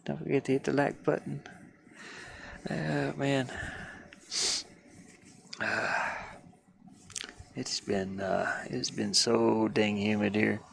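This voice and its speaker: A man with a low, gravelly voice talks casually on a livestream. He rambles along slowly and haltingly in an easygoing way, with clear noise in the background. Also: fairly even intonation. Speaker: livestreamer, hobby game artist. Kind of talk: casual livestream chat while working.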